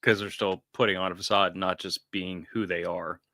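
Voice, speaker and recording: Gravelly-voiced man hosting. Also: low voice, little pitch movement, moderate pace, pushed and bright, no echo, clean studio sound. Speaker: podcast host. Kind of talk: casual podcast chat.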